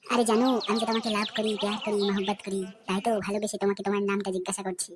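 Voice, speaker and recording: A woman's voice: mid-range voice, little pitch movement, very fast, background noise, lightly acted. A woman voicing a brash, pushy young male suitor in a comedy cartoon, crude and badgering, with a West Bengal accent. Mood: wooing. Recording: music behind the voice.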